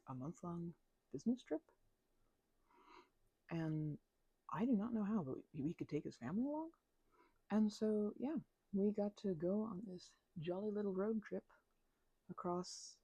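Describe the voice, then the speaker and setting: A young woman with a low, creaky voice rambles casually in ASMR style. She mumbles and hesitates her way along in a relaxed, unhurried tone, close to the mic. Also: no room sound, rough recording. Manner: mumbling, hesitant, drifting storyteller. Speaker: ASMR channel creator.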